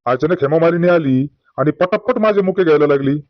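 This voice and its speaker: Man playing a high-pitched boastful old village man. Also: fairly quick, no echo, dull audio, natural voice. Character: boastful old village man, grieving, exasperated, telling tall tales. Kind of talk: comedy cartoon dialogue.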